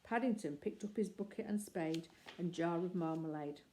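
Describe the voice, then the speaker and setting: A woman reading a children's story aloud in a gentle, measured way. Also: fairly low pitch, a little hiss or hum. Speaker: narrator.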